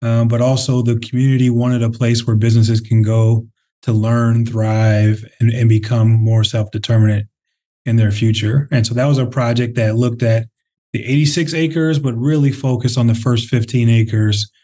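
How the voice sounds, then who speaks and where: A man from the Twin Cities, a company head, answering interview questions in a thoughtful, conversational way with frequent pauses and fillers. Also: mid-range voice, flat delivery, mellow. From Minnesota, Twin Cities. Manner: thoughtful, many fillers and restarts. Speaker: real estate CEO, interview guest.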